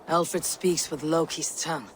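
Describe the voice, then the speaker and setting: An actor playing a stern, blunt Viking warrior leader who speaks grimly and firmly, in a video game. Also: male voice, a little high, fairly even intonation, a little slow, a bit bright, in a large hall, slight background noise. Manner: grim, firm.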